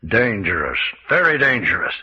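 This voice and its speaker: A man's voice: fairly low pitch, sing-song, normal speed, breathy edge, exaggerated voice. An actor playing a sinister mad scientist who savors and repeats his phrases in an old radio mystery. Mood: sinister admiration. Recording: muffled old radio recording.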